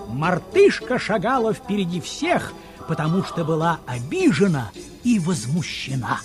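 A male narrator with a high voice tells a children's story expressively. His intonation rises and falls widely, and music plays clearly behind him. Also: sing-song, unhurried.

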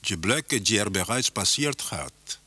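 An actor playing a detached male narrator in a radio drama, describing surreal scenes in a measured, scripted way. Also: fairly low pitch, lively intonation, sharp tone, husky, dry recording, full character voice.

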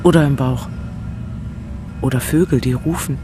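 An actor playing a searching, world-weary man in a poetic radio play, speaking in deliberate fragments. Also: mid-range voice, music in the background, lightly acted.

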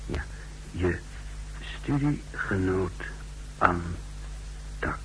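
Casting: brooding spy, low voice, measured monologue, noisy vintage radio recording. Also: male voice, animated, sharp tone, exaggerated voice. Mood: brooding, calculating. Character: secretive, scornful male spy recounting his mission. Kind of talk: 1960s radio drama monologue and narration.

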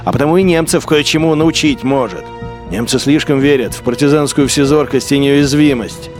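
A man narrating a radio drama in a bitter, measured inner-monologue voice. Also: medium pitch, faint music behind.

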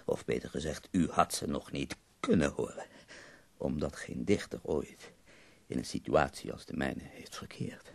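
An actor playing an ailing, intense middle-aged poet in a radio play, husky and gravelly, speaking with theatrical emphasis. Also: male voice, rumbling low, unhurried, darkish tone, whispered, heavily acted. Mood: anguished pride. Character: ailing, intense male German poet dictating to a woman.

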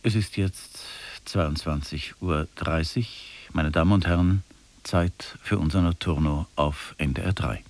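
A man's voice: sits low, a little slow. A male radio announcer, reading scripted programme announcements in a studio.

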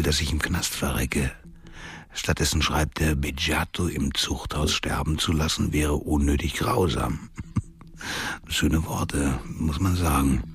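An actor playing a crude, cynical imprisoned gangster, gloating coarsely to himself in a radio crime drama. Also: male voice, extremely low pitch, a bit bright, slightly rough, no echo, exaggerated voice.

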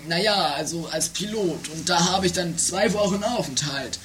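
Man playing a blustering, high-pitched family father. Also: normal speed, close to an everyday voice. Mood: evasive. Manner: melodramatic, stammering, bursts into shouting. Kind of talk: improvised amateur trash radio comedy acting. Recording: noisy 1980s home-made radio play recording.

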